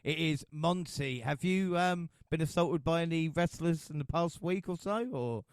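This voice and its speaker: A man's voice: fairly high pitch, normal speed, clean tone, no room sound. A man hosting a wrestling podcast, joking and laughing through casual chat. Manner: jokey, laughs often, mumbles asides. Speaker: podcast host.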